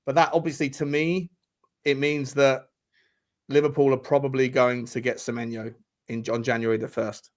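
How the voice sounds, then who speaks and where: A man co-hosting a football podcast, animated and long-winded, tagging his points with "right?" and "mate". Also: medium pitch, normal speed. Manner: animated, explains at length, says "mate" and "right". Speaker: podcast co-host. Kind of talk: casual football chat.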